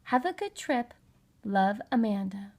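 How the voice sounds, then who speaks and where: A woman's voice: mid-range voice, expressive, unhurried, a little mellow. A woman voicing a curious, stubborn little girl from a children's storybook, emphatic and childlike. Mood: eager.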